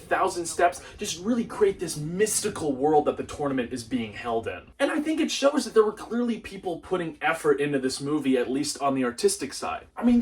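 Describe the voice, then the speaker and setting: Animated young man with a higher-pitched voice. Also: distant mic. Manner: animated, sarcastic, casual with swearing. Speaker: movie reviewer and video host. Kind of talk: comedic movie review commentary.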